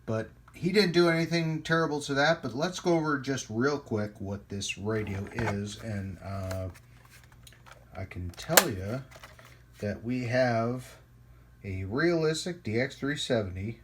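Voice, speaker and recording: A man giving a casual hands-on product demonstration as a channel host, rambling and pausing often as he goes. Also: mid-range voice, noisy background. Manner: halting, rambling delivery with frequent pauses. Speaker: host of a radio hobby channel. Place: indoors at a hobby workbench.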